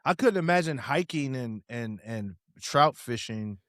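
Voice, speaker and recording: A man's voice: mid-range voice, expressive, unhurried, fairly bright tone, no room sound, studio quality. A man co-hosting a podcast as a hip hop artist, talking in an emphatic, slangy way and often repeating words. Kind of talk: casual chat.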